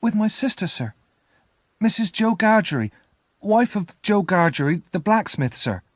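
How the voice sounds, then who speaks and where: A man's voice: sits high, sing-song, normal speed, dry recording, clean studio sound, voice pushed up. A man voicing a frightened, polite little orphan boy in a storybook, timid and pleading. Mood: nervous, polite.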